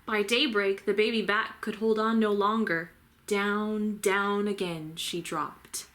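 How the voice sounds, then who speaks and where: A woman reading a children's storybook aloud as a teacher, with deliberate, dramatic delivery. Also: mid-range voice, steady tone, fairly bright tone. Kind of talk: expressive children's storybook narration.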